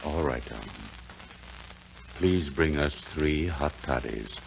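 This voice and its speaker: Generous well-to-do gentleman, very low, theatrical swings, 1940s radio drama, noisy dull recording. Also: takes it slowly, gravelly, full character voice. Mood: puzzled, hushed. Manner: expansive, theatrical, genteel. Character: hearty, lonely well-to-do gentleman, generous and reflective.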